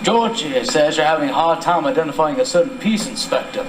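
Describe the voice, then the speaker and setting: Echoey, formal gentleman professor acted by a man. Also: on the high side, expressive, brisk, lightly acted. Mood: genial. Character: formal, genial male archaeology professor. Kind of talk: stage radio-drama acting. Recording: echoey distant stage, noisy.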